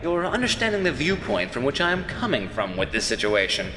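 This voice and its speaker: A man's voice: mid-range voice, sing-song, a little fast, pushed and bright, slight background noise. A man acting in a radio play as a cocky, needling captive who taunts in wordy, theatrical sarcasm. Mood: smug. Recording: long reverb, music behind. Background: music.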